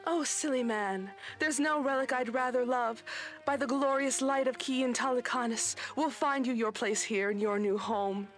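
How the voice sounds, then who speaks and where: Radio play sidekick acted by a woman: affectionate, bossy local guide, fairly high voice, faint music behind. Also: normal speed, close to an everyday voice. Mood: tender. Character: chipper, bossy, affectionate female alien guide.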